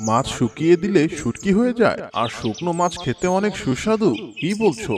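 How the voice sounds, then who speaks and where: A man's voice: high voice, sing-song, a little fast, a crowd in the background. A man voicing a curious, gullible crocodile in a children's cartoon, chatty and full of questions and exclamations. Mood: surprised. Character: curious, gullible male crocodile, eager for tasty food. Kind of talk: Bengali children's cartoon voice acting. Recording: background music with birdsong.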